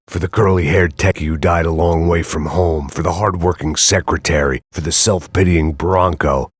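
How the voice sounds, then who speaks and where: An actor playing a weary, cynical middle-aged male bodyguard, narrating his thoughts in a dry, sardonic deadpan. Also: sits low, husky, no room sound. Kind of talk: video game inner monologue narration.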